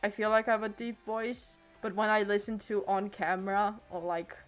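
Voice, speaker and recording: A teenage girl talks loosely into a desk mic at home, her thoughts stopping and starting as she circles back on herself. Her voice sits at an ordinary middle pitch for a young woman. Faint music plays quietly underneath. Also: flat delivery, normal speed. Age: late teens. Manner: hesitant, many restarts and trailing thoughts. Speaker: streamer. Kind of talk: casual rambling stream chat. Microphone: desk microphone.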